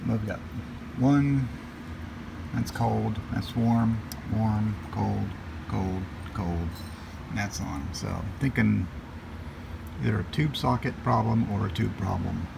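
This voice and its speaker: Male hobbyist repairer, heavy vocal fry, plainspoken and fragmentary working commentary, recorded over a noisy hum. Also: fairly low pitch, slow, long pauses. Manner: plainspoken, talking in short fragments while working. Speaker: hobbyist restoring vintage radios. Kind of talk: casual hands-on repair narration. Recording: noisy room with steady background hum.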